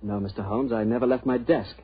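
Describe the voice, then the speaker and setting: A man's voice: medium pitch, fairly even intonation, fast, slightly rough, no echo, close to an everyday voice. A man playing an earnest, anxious young secretary, polite and pleading, in a 1940s radio drama. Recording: muffled old radio recording.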